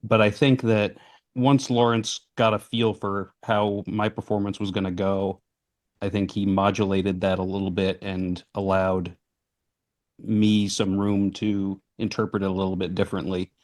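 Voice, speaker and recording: A man speaking casually as a voice actor on a panel, measured and thoughtful, sometimes repeating words as he tells anecdotes. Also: deep voice, flat delivery, a little mellow.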